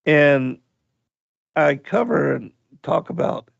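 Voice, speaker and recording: Hoarse, gravelly man hosting a livestream. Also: on the high side, animated, dry recording, lo-fi sound. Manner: slow, halting, with many pauses and fillers. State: hoarse, voice going out. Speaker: radio show host. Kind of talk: livestream radio talk, reading chat.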